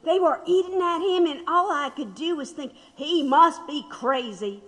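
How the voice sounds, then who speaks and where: An actress plays a condemned man's wife looking back in an audio drama. Her voice sits somewhat high, measured and full of regret and bitterness. A hollow reverb trails her words. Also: fairly bright tone. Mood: regretful. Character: grieving wife of a condemned man, bitter and reflective.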